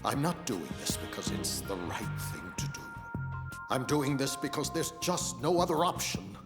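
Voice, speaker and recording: An actor playing a weary, disillusioned older American President in a video game drama, grave and bitter in manner. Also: male voice, medium pitch, wildly swooping pitch, moderate pace. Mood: bitter, resigned. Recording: long reverb, music underneath. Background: clear music.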